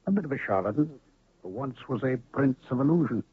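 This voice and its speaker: A husky, theatrical medicine man. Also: mid-range voice, animated, fast talker, no echo. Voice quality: grand, theatrical. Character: mysterious male medicine man and illusionist. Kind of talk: 1970s radio drama acting. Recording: lo-fi phone-band old radio recording.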